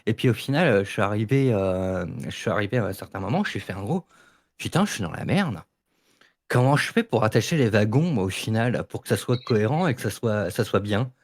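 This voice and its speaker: Low-voiced man in casual debate. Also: expressive, a little fast, no echo, studio quality. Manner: informal, emphatic, repeats words and restarts often. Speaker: podcast debate participant, audio fiction creator.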